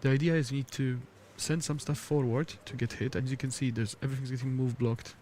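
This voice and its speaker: A man co-hosting a tabletop game stream, chatty and laughing, speaking quietly in a large empty hall. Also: medium pitch, fairly even intonation, brisk, a little hiss or hum. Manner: chatty, restarts often, laughs between points. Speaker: stream co-host. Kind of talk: casual commentary chat.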